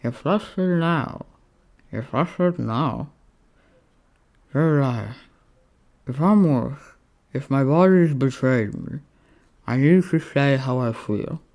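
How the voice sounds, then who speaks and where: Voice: mid-range voice, lively intonation, slow pace, long pauses, a little mellow. A reader voicing a proud, lovesick Roman general in a story, formal, theatrical and drawn out. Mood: earnest, dramatic.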